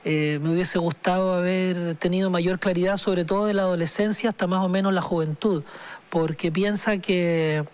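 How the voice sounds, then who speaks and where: High-voiced, thoughtful man. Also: steady tone. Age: 40s. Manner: slow, thoughtful, halting with many restarts. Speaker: singer-songwriter guest. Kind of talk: reflective interview talk.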